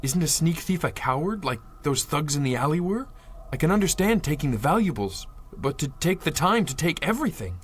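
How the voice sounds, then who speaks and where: A man's voice: a little high, very animated, fairly quick, few pauses, husky. An actor playing an earnest, protective amnesiac man with formal, hesitant phrasing in a 1930s-style adventure radio drama. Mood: thoughtful.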